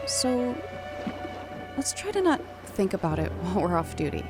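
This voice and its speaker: An actress playing a devoted, headstrong biologist on an oil rig in an audio drama, emotional and earnest. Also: female voice, mid-range voice, very animated, normal speed, close to an everyday voice. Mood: caring. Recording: music under the voice.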